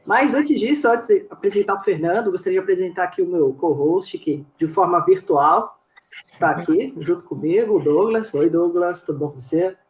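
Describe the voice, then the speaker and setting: In a roomy, echoing space, a Brazilian man hosts a podcast. His voice is slightly high, and he talks in a casual, chatty way, often repeating his phrases. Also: normal speed, darkish tone. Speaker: podcast host.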